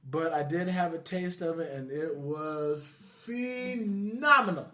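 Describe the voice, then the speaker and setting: A man's voice: fairly high pitch, slow pace, bright, forceful tone. A man co-hosting a casual podcast chat, talking in an enthusiastic, effusive way. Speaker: podcast co-host.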